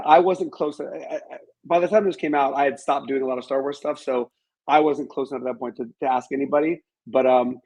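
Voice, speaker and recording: A man speaking as an interview guest in a measured, halting way, thinking aloud and qualifying his opinions. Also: medium pitch, darkish tone, low-quality recording. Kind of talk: reflective interview conversation about films.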